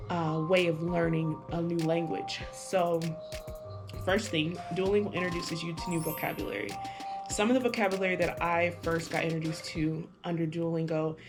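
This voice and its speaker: A woman vlogger reviewing an app in casual talk to camera, chatty and hesitant, with lots of fillers and restarts. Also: on the low side. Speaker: language-learning vlogger. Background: music.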